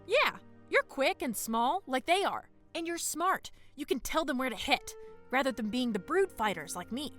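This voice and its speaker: A woman's voice: high-pitched, animated, moderate pace, a bit bright, faint music behind. A woman playing a blunt, impatient female dragon warrior in an audio drama. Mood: brisk, encouraging.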